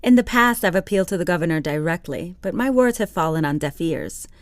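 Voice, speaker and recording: A woman playing a warm, determined schoolteacher of the 1830s in an audio drama, measured and formal. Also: fairly low pitch, very animated, no room sound. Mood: kind, firm. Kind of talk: scripted audio drama dialogue.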